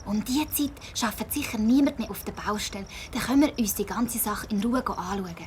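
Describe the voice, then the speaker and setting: A woman's voice: medium pitch, a little fast, slight background noise, natural voice. A woman playing an optimistic, determined girl in a children's audio drama, with a Swiss accent and an eager, persuasive manner. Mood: hushed, curious. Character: optimistic, determined young girl among adventuring friends.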